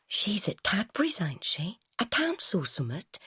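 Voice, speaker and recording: Woman as a high-pitched factory girl. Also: wildly swooping pitch, unhurried, bright, forceful tone, husky, no room sound, studio quality, full character voice. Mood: helpful, curious. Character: friendly working-class young factory girl.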